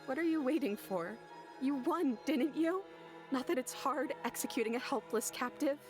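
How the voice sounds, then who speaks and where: Horror game princess: wounded but composed, coaxing, measured delivery, fairly high register, music underneath. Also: female voice, expressive. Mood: taunting. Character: captive young princess, wounded yet composed, softly coaxing. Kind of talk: dramatic visual novel voice acting.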